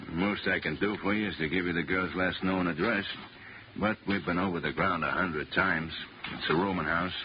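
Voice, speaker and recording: An actor playing a weary, exasperated city police lieutenant in 1950s American radio drama, gruff and slangy. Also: male voice, low voice, a little fast, close to an everyday voice. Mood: resigned. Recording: muffled, noisy vintage radio recording.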